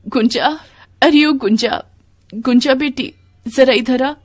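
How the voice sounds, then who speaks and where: A woman playing a devout, long-suffering village mother, gentle but firm and plaintive, in a devotional storybook tale. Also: sits high, slow pace, voice pushed up. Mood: pained, calling. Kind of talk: devotional moral storybook dialogue.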